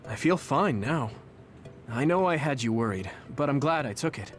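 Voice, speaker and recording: An actor playing an earnest, steady young squad leader in an anime-style video game, sincere and reassuring. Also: male voice, mid-range voice, normal speed, faint music behind, close to an everyday voice.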